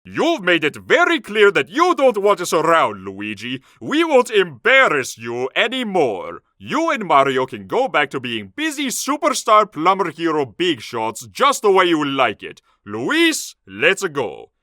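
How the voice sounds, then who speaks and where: A man playing an offended, stubborn, melodramatic Italian father in an animated comic dub, huffy and curt. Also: a little high, unhurried, few pauses, no echo, studio quality, exaggerated voice. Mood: indignant, sarcastic.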